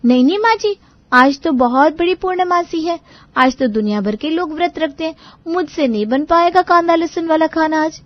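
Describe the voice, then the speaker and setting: A sly young daughter-in-law, put on by a woman storyteller, pleading and complaining in a high, mock-innocent voice. It is a family storybook role, recorded dry and somewhat muffled. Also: animated, moderate pace, no echo. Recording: dull lo-fi recording.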